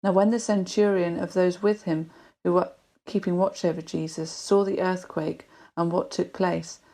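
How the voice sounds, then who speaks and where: A woman giving a solemn, measured reading of Bible narrative as a scripture reader. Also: lowish voice, little pitch movement, dark tone.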